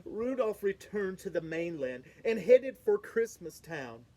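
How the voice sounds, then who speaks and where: A woman reading a children's picture book aloud, slow and expressive. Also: poor audio. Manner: slow, expressive, lively pitch swings. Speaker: storybook reader. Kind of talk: children's read-aloud narration.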